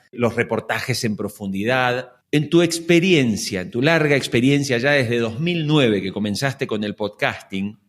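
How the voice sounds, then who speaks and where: A man's voice: medium pitch, fairly bright tone. Male podcast host and interviewer, mid-range voice, conversational delivery that speaks straight to the listener.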